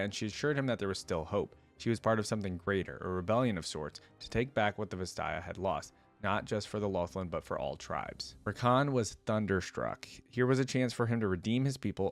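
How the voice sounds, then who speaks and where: A man hosting a reaction video, reading a story aloud and breaking into informal, chatty commentary. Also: fairly low pitch. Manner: informal asides with frequent "like" and "right?".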